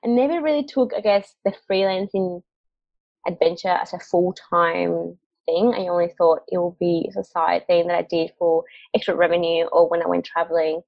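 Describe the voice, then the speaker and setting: A woman's voice: fairly low pitch, steady tone, moderate pace, creaky, no room sound. Chatty young woman from Mexico, dry lo-fi audio. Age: young adult. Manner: chatty, laughs often, many fillers. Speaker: guest, marketing agency founder. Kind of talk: casual interview chat. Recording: dry, dull lo-fi call audio.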